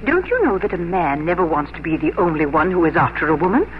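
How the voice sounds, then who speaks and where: A worldly, scheming older lady of society. She speaks knowingly and with theatrical emphasis, her pitch moving freely. The sound is a dull old radio play with faint hiss. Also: big pitch swings, quick pace. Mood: knowing, sly. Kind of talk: 1950s radio drama acting. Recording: muffled, hissy old radio broadcast.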